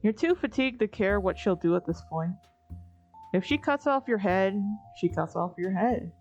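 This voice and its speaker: A woman's voice: mid-range voice, expressive, normal speed, highs cut off. A woman narrating a comic dub in the second person, with a wry, weary, conversational delivery. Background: soft music.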